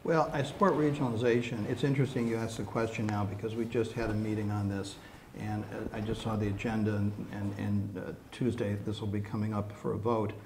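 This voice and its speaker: An American man giving a measured, somewhat hesitant answer as a council candidate at a forum. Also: fairly low pitch, level tone, a little mellow, slight background noise.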